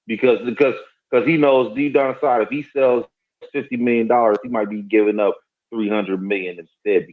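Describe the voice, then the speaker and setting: A man's voice: mid-range voice, steady tone, normal speed. A man in his forties arguing insistently on a group call, repeating his question. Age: 40s. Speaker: call participant. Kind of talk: heated debate on a group call. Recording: phone-like call audio.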